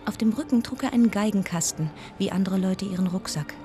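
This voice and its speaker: An actress playing an observant, gently wry woman narrator, telling her travel story in a measured radio-drama style. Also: mid-range voice, close to an everyday voice. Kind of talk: scripted first-person narration. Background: music.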